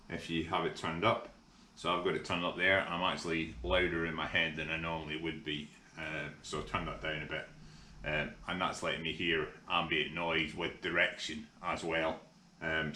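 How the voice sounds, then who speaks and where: A middle-aged Scottish man casually explaining a kit installation in a workshop, relaxed and full of ums. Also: deep voice. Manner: relaxed, hesitant with frequent ums. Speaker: workshop vlogger. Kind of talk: casual how-to demonstration. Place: large indoor workshop. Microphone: camera mic from overhead. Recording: echoey workshop with faint hum.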